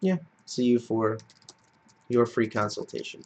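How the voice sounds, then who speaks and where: A man's voice: medium pitch, animated, measured pace, a little mellow, slight background noise. A man giving step-by-step screencast narration as an instructor, in a plain-spoken, explanatory manner. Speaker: software tutorial instructor.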